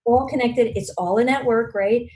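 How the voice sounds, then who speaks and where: A woman's voice: a little high, moderate pace, darkish tone. A woman from Long Island, a guest in a podcast interview, telling stories earnestly with many fillers and restarts. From Long Island, New York. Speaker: guest, regional business network leader.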